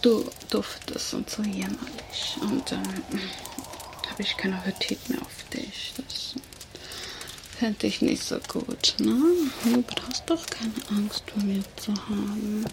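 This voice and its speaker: A woman voicing a teasing, menacing female vampire kidnapper in an ASMR roleplay, talking in a slow, taunting whisper. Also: medium pitch, flat delivery, vocal fry. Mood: mock soothing.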